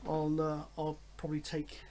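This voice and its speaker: A man's voice: fairly high pitch, monotone, moderate pace, darkish tone, slight background noise. A man in his fifties talking casually and enthusiastically as a hobby vlogger, rambling along with frequent hesitations. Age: 50s. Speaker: host of a radio hobby vlog. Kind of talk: casual, rambling chat to camera.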